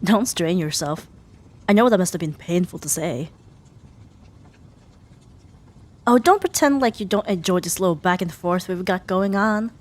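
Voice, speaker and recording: A woman playing a sharp-tongued young female rival in an ASMR roleplay, huffy and sarcastic but grudgingly caring. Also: lowish voice, close to an everyday voice. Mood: teasing. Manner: sarcastic, huffy, theatrical sighs and drawn-out jabs. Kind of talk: ASMR roleplay audio drama addressed to the listener.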